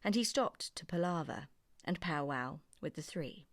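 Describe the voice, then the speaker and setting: A woman's voice: low voice, animated, a little slow, fairly bright tone, no echo, studio quality. A woman narrating a children's story expressively from a script.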